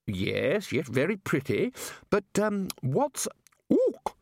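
A man voicing a bluff, hearty older male wizard leader, jovial and loud in his manner. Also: fairly high pitch, wildly swooping pitch, slow, vocal fry, no echo, clean studio sound, voice pushed up, exaggerated voice. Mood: puzzled.

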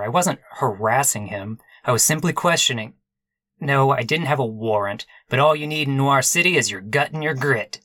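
A man playing an earnest, wry, bumbling police detective in a comic noir radio play, with a deadpan, hard-boiled delivery. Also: mid-range voice, no echo. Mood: flustered, placating.

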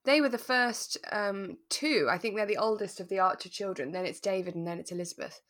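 A woman's voice: medium pitch, a little fast, fairly bright tone. A woman co-hosting a podcast, chatting casually and enthusiastically about a drama and agreeing readily as she goes. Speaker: podcast co-host. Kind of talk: casual chat reviewing a radio drama.

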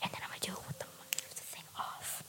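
A woman whispering breathily and close to the microphone, chatting in a halting, informal way as an ASMR creator. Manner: hushed, halting, informal rambling. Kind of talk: whispered ASMR with casual chat.

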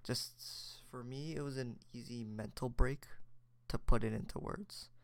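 A young man talks alone on his podcast in a loose, reflective ramble, circling back with fillers and restarts. His voice has a gravelly edge. The sound is dry and close, with no room around it. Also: mid-range voice, fairly even intonation, unhurried, no room sound. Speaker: podcast host. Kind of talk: solo casual chat.

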